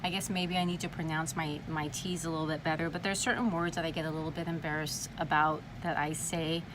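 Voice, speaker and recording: A woman's voice: low voice, level tone, fairly quick. A woman from California with a slight lisp, talking casually to camera in a chatty, dramatic way and swearing freely. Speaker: vlogger. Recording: noisy background.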